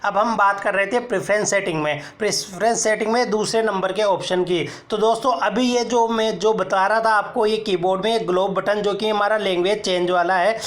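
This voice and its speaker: A man. He goes one step at a time, pausing to point things out and telling viewers to look here. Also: high-pitched, fairly even intonation. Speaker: tech tutorial presenter. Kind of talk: screen-recorded how-to explanation.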